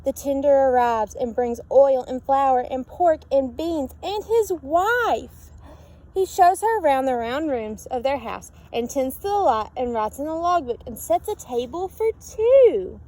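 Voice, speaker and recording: High-pitched woman reading a picture book aloud. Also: unhurried, low-quality recording. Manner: expressive, sing-song on repeated calls, questioning listeners. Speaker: storybook reader. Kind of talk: read-aloud narration of a picture book with asides to children. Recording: steady background noise.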